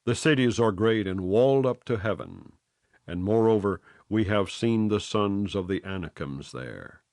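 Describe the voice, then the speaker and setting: A man reads scripture in slow, solemn phrases, each line set apart. His voice sits fairly low but moves widely in pitch. The sound is close and dry, with no room around it. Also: expressive, a little mellow, no echo. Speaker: Bible reader.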